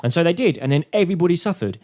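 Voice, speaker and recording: A man's voice: on the high side, expressive, rapid-fire, darkish tone. A man chatting casually as a podcast co-host. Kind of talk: casual chat.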